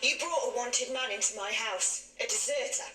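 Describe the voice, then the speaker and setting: Forthright costume-drama housewife: high, cutting voice, roomy off-mic playback. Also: female voice, moderate pace, sharp tone. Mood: indignant. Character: married householder woman, sharp, indignant, forthright. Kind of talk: period TV drama acting. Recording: echoey distant TV playback.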